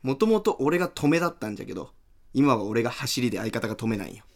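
A man's voice: medium pitch, very animated, quick pace. A man acting as an overfamiliar young comedian in a radio drama, chatty and pushy, with a western Japanese Hiroshima-style dialect. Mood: cheeky, boastful. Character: brash, overfamiliar young male amateur comedian.

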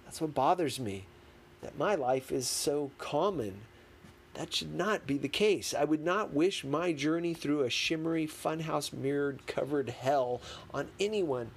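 A man reading a memoir aloud as scripted narration, with dramatic, deliberate phrasing. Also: a little high. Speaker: audiobook reader. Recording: clearly noisy background.

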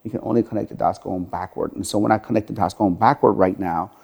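A man's voice: lowish voice, steady tone, fast, gravelly, a little mellow, husky. A middle-aged man from Iran, a podcast guest telling his life story earnestly, with many "you know" fillers. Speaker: podcast guest, gym franchise owner. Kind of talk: interview storytelling.

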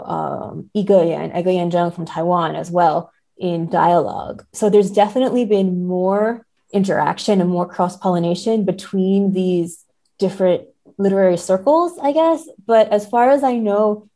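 A woman's voice: fairly low pitch, gravelly, mellow. A woman talking through literary ideas in conversation as a podcast guest, thinking aloud and often hedging her points. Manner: hesitant, hedging, thinking aloud, seeking agreement with "right?".

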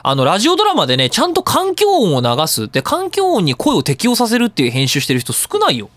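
Animated fast-talking man hosting radio. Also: high voice, no room sound. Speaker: radio show host and sound director. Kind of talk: casual radio talk explaining audio editing.

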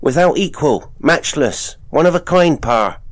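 A man's voice: fairly high pitch, expressive, a little slow, dry recording, voice pushed up. A man voicing a blunt, sullen young son who answers curtly. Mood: offhand.

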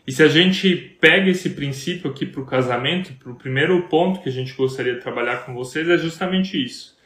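An earnest Brazilian man co-hosting a talk on marriage, speaking in a preacherly way and asking question after question. His voice sits a little high for a man. The sound carries a roomy echo. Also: brisk, fairly bright tone. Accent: Brazilian Portuguese.

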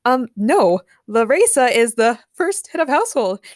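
A reality show hostess, voiced by a female narrator in a fan fiction story. She is presenterly but easily intimidated. Her voice is fairly high, recorded dry. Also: big pitch swings, moderate pace, no echo. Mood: flustered. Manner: presenterly, flustered when challenged.

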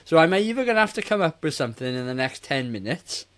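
A man's voice: a little high, very animated, fast talker, fairly bright tone. A man in his forties co-hosting a casual film review podcast, speaking in a blunt, exasperated way. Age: 40s. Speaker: podcast co-host.

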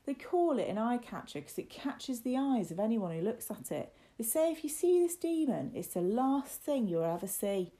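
Storybook working lad, played by a woman: sly, mock-respectful, ominous teaser with very animated intonation. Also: medium pitch, normal speed, lightly acted. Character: sly, teasing working boy spinning scary tales. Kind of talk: storybook character voice.

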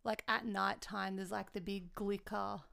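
Loud, chatty Australian young woman. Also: mid-range voice, level tone, a little slow, vocal fry, no room sound. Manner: chatty, fills with "like" often. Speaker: podcast co-host. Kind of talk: casual travel chat.

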